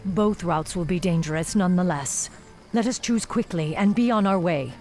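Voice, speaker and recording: A woman's voice: lowish voice, moderate pace, darkish tone, soft background music, close to an everyday voice. An actress playing a stern, impatient elven warrior woman in a fantasy video game, speaking formally and with command. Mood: impatient, guarded. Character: stern, impatient night elf warrior woman hunting a demon. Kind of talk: dramatic video game cutscene acting.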